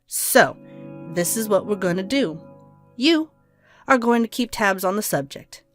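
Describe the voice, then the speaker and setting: A woman's voice: mid-range voice, lively intonation, unhurried, natural voice. Audio drama role: performer as a domineering, clinical woman doctor, impatient and scheming, faint music underneath. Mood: impatient, commanding. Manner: curt, commanding, clinical.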